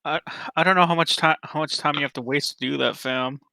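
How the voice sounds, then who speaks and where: A man's voice: a little high, steady tone, fairly quick, creaky, bright, forceful tone. A man co-hosting a podcast, chatting casually with informal slang. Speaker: podcast co-host. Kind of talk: casual chat.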